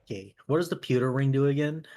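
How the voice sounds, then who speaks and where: A man's voice: medium pitch, quick pace, vocal fry, darkish tone. A man chatting casually and jokily as a player making a tabletop game character. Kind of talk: casual chat.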